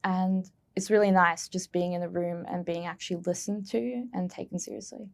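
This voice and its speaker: Woman, gravelly fry, earnest museum intern, prepared answers. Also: on the low side, fairly even intonation, moderate pace. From Australia.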